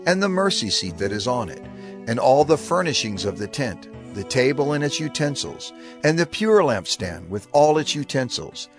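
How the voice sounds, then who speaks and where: Lively-pitched man reading scripture solemnly. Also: moderate pace, soft background music. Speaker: scripture reader.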